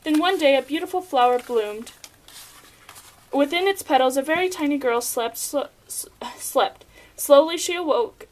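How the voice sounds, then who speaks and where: Young woman reading a fairy tale script, pretty high voice, trips on words sometimes. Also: expressive, moderate pace. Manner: stumbles over words and giggles. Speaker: puppet show narrator. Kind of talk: scripted storybook narration.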